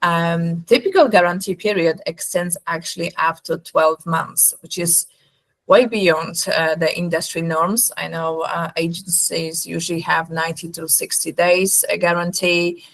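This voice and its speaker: Low-pitched woman with a Polish accent. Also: steady tone, a little slow, fairly bright tone. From Poland. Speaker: recruitment agency representative presenting. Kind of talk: webinar sales presentation.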